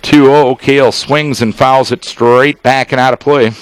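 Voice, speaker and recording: A man doing live baseball play-by-play as a radio announcer, speaking in measured, clipped phrases with deliberate pauses. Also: mid-range voice, fairly even intonation, smooth, no room sound, poor audio. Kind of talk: live sports play-by-play commentary.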